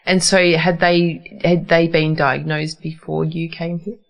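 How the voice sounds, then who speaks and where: A woman hosting a radio interview from a community radio studio, asking conversational, gently probing questions. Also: sits low, little pitch movement, unhurried, gravelly. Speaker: radio host. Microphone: studio microphone.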